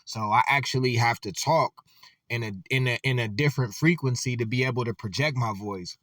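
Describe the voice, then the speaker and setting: A man's voice: medium pitch, fairly quick, a bit bright, dry recording, far from the mic. A man giving loose, conversational reaction commentary, full of filler words.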